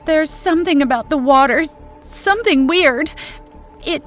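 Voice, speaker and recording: A woman's voice: high-pitched, a little slow, soft background music, pitched above the performer's own voice. A woman voicing a frightened, pleading young wife in a horror story.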